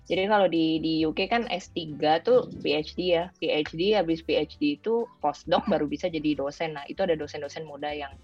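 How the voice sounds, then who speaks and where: A woman's voice: low voice, a little fast, hardly any pauses, a little mellow. A young adult woman with a colloquial Jakarta Indonesian accent, chatting casually as an interview guest and mixing in English phrases. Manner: informal, mixes in English phrases. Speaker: guest, former overseas student. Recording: dull lo-fi audio with music. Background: music.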